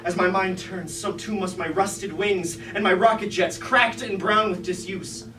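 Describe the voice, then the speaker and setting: A man's voice: high voice, steady tone, a little slow. A man playing a proud, bitter rebel robot warrior in a staged tragedy, declaiming grandly in an echoey room. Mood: grandiose, defiant. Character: proud bitter rebel robot warrior, ambitious traitor turned self-crowned king. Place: indoor room at a live staged reading. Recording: echoey room from far off, noisy.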